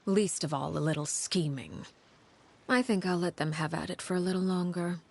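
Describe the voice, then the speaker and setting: An actress playing a cold, menacing female leader of a secretive house in a video game, speaking formally and with measured poise. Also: fairly low pitch, gravelly. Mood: sly, composed. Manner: measured, formal, veiled threats.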